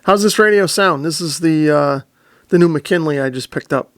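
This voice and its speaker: A man's voice: fairly high pitch, moderate pace. A man hosting a casual, methodical product demo, explaining things step by step. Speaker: host of a radio review and demo video. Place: indoor radio shack.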